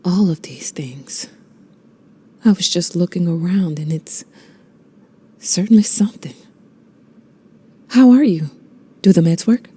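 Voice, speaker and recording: An actress playing a weary, sardonic middle-aged woman writer in a video game, with a husky, breathy voice and a dry manner. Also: fairly low pitch, lively intonation, unhurried, long pauses, warm tone. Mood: tender, tired. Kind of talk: naturalistic video game acting.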